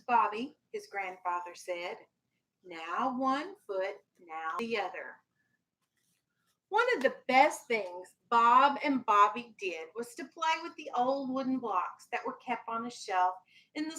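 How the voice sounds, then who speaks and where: Bright-voiced woman teacher, fairly high. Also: lively intonation, slow pace, low-quality recording. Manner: firm, instructive. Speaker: elementary school teacher. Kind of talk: reading a children's storybook aloud to a class, with questions. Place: classroom.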